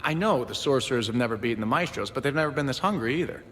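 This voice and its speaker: A man's voice: mid-range voice, expressive, rapid-fire, fairly bright tone, close to an everyday voice. An actor playing a friendly, teasing crewman and keen sports fan in a space video game, in casual banter. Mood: confident, eager. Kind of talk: casual game dialogue acting. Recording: reverberant room, faint ambient noise.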